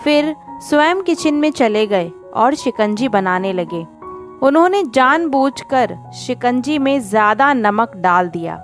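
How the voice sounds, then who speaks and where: A woman narrating a scripted moral story in a deliberate, phrase-by-phrase way. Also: fairly high pitch, expressive, soft background music.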